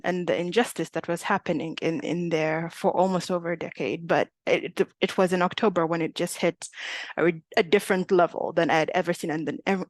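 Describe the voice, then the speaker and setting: A woman's voice: fairly low pitch, no echo. Chatty young woman on a video call. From Uganda. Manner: chatty, many fillers and small laughs. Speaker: webinar presenter. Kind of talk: casual webinar presentation.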